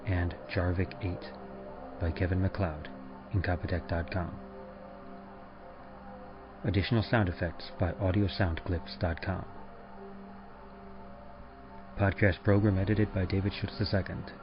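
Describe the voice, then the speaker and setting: Low male narrator reading show credits, formal, kinda dull lo-fi sound. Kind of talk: scripted credits announcement. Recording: dull lo-fi audio over music. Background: music.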